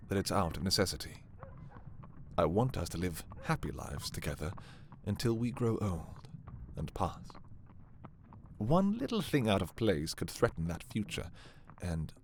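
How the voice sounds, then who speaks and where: Casting: male actor as a dark romantic prince, low-voiced, commanding, possessive, with widely swinging intonation, for fantasy ASMR roleplay. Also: slight background noise, heavily acted. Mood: tender, possessive. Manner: slow, deliberate, imperious yet intimate. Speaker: audio roleplay performer.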